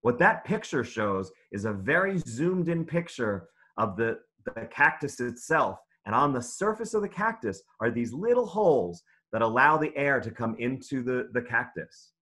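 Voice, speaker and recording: A man's voice: medium pitch, very animated, normal speed, hardly any pauses, sharp tone. A man reading aloud and teaching young children in simple, encouraging, instructive phrases during an online lesson. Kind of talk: interactive children's read-aloud lesson over video call.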